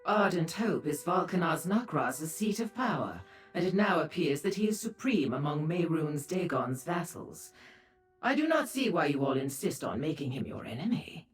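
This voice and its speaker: Sly, haughty demon seductress with lively intonation. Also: female voice, low voice, moderate pace, soft background music, poor audio. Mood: haughty, wry. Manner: teasing, haughty, flirtatious. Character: sly, seductive female Daedric Mazken dealer, haughty toward mortals. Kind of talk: fantasy video game acting.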